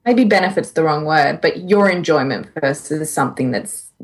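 A woman's voice: low voice, expressive, normal speed. A woman co-hosting a podcast in casual conversation, candid, informal and a little self-deprecating. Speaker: podcast co-host.

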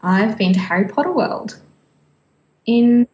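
A woman's voice: on the high side, lively intonation, unhurried, darkish tone. A woman co-hosting a casual fan podcast, chatting playfully with some self-deprecation. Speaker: podcast co-host. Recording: echoey, roomy sound.

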